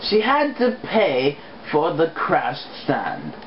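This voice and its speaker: A woman's voice: sits low, sing-song, slow. A woman reading fan fiction aloud as an amateur narrator. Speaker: reader. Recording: muffled, noisy home recording.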